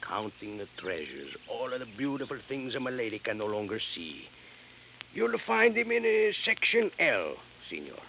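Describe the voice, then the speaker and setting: An actor playing an eager immigrant gardener who speaks broken English with earnest pride, in a 1950s radio drama. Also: male voice, mid-range voice, wildly swooping pitch, normal speed. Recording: tinny lo-fi old radio broadcast with faint hiss.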